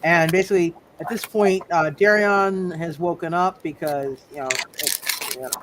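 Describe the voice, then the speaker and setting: A man running a live tabletop roleplay as game master, explaining casually in a halting way with repeated phrases. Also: on the high side, noisy background.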